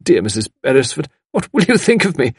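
A man's voice: on the high side, big pitch swings, fairly quick, no room sound, clean studio sound, pitched above the performer's own voice. A man voicing a gushing, flustered, apologetic woman visitor with a stammering, effusive manner in an audiobook. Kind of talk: audiobook character voice.

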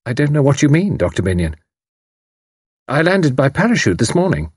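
A man voicing a calm, composed young woman spy posing as a nurse. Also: medium pitch, moderate pace, slightly rough, no echo, studio quality, voice pushed up. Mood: composed, firm.

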